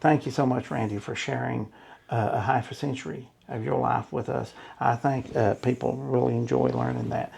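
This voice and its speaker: A man's voice: mid-range voice, steady tone, moderate pace, gravelly, darkish tone, slight background noise. A man hosting an interview, speaking in a folksy, plainspoken way as he wraps up the conversation. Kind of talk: casual interview wrap-up.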